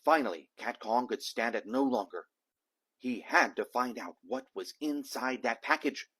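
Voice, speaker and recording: A man's voice: medium pitch, moderate pace, pushed and bright, slightly rough. Man reading a storybook aloud with theatrical flair. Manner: theatrical, dramatic emphasis. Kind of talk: animated read-aloud of a children's picture book.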